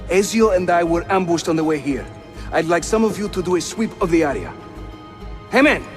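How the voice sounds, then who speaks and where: A man's voice: high voice, fairly quick, music in the background. A man with a Turkish accent playing a jovial, teasing, hospitable Ottoman assassin leader in a video game. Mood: hearty. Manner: animated, theatrical, teasing. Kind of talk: video game dramatic acting.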